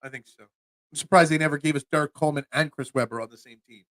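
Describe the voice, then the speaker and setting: A man's voice: fairly high pitch, quick pace, a bit bright, no echo, studio quality. A man in his forties with a Philadelphia accent, hosting a solo sports talk show and rambling with stammered restarts as he reads chat. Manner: rambling, stammering restarts, chatty asides to viewers.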